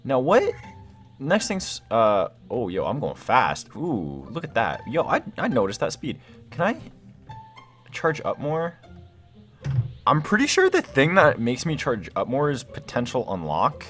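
A young man giving excited, casual gameplay commentary, rambling and thinking aloud in slangy exclamations. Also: medium pitch, unhurried, faint music behind. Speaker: gaming video host.

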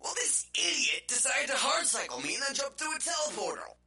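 Girl playing a snippy machinima ai, high bright voice, synthy doubled effect. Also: sing-song, brisk, faint music behind, exaggerated voice. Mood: irritated. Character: irritated female artificial intelligence in a machinima. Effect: doubled, synthy computer-like filter. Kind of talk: scripted machinima voice acting.

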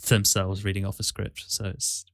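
A man with a fairly low voice talks casually on a podcast. His delivery is quite flat and even, with halting explanations, and the sound is dry and close. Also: vocal fry, no room sound. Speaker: podcast host and amateur voice actor.

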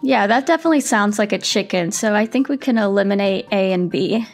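A woman's voice: medium pitch, brisk, soft background music. A young woman, a doctoral student contestant, chatting casually over a headset on a video call. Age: young adult.

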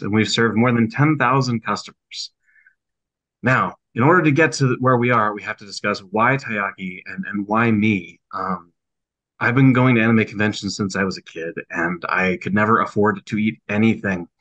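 A man's voice: on the low side, a bit bright, low-quality recording. A man who owns a small food business, giving a business presentation on a video call. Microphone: video call microphone.

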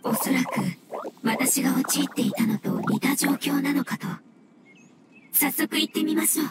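Woman voicing a high, solemn water fungus spirit. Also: level tone, moderate pace, very noisy, natural voice. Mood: polite, pleading. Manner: polite, formal, solemn. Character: earnest, deferential floating water fungus spirit. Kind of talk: Japanese anime game voice acting.

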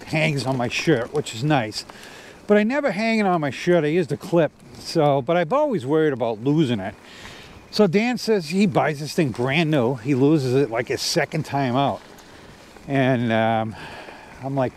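A man's voice: on the high side, darkish tone. Rambling man in his fifties on a bike. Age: 50s. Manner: rambling, filler-heavy, frequent restarts. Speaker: e-bike vlogger. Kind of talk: casual vlog commentary while riding. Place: outdoors on a trail, riding an e-bike. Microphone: corded clip-on mic. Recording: faint outdoor noise from riding.

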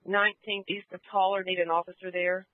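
A male police dispatcher with a high-pitched voice talks briskly in short procedural phrases. He comes through a thin, narrow radio channel. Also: flat delivery. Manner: clipped, procedural. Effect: police radio transmission. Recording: band-limited police radio audio.

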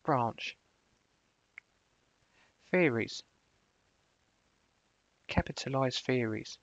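A man presenting a screencast software tutorial, switching between casual explanation and clipped spoken commands. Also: medium pitch, animated, drawn-out words, no echo, dull audio.

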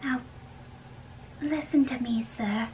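A woman acting a fussy, chatty old nurse in an amateur dramatic play reading, with drawn-out delivery. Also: fairly high pitch, darkish tone, voice pushed up. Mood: confiding. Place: small bedroom. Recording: distant mic, room noise.

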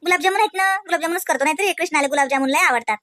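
A woman's voice: extremely high pitch, very fast, a bit bright, dry recording, clean studio sound. A woman voicing an affectionate, chatty aunt and housewife in a comedy cartoon, with a homely, gushing manner. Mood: cheerful, busy.

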